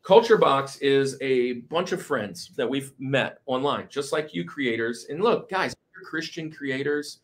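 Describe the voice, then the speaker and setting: An enthusiastic male podcast host with a medium-pitched voice. He talks casually and tends to repeat short phrases as he goes, in the loose style of banter among friends. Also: expressive, a little slow, few pauses.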